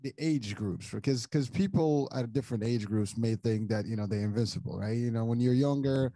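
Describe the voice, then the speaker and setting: A man's voice: medium pitch, brisk, warm tone, dry recording. A middle-aged man from Morocco hosting a casual interview, talking in long rambling sentences full of restarts and repeated words. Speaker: podcast host.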